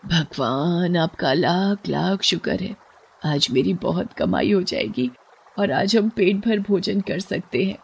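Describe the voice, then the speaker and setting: A woman's voice: lowish voice, slow pace, creaky, husky, muffled recording, full character voice. Woman as a kind, earnest poor fisherwoman. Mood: thankful. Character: poor, kind-hearted older fisherwoman, devout and gentle. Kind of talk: moral storybook cartoon voice acting.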